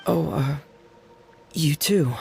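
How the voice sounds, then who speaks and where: A man playing a shy, timid teenage boy in a video game, halting and reserved. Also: fairly high pitch, gravelly, a little mellow, breathy edge, soft background music, heavily acted.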